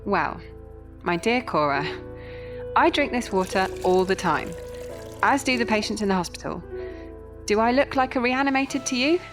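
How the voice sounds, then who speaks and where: A woman's voice: a little high, animated, normal speed, long pauses, music in the background, natural voice. A woman playing a proper, kindly Victorian nurse in an audio drama, matter-of-fact and chatty. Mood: reassuring.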